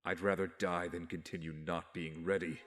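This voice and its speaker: A man playing a wounded, despairing young vigilante in an audio drama, speaking in a weak, weary, brooding and halting way. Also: low voice, little pitch movement, fairly bright tone. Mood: weary, resigned. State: weak, badly wounded (acted). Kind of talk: fan audio drama inner monologue. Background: music.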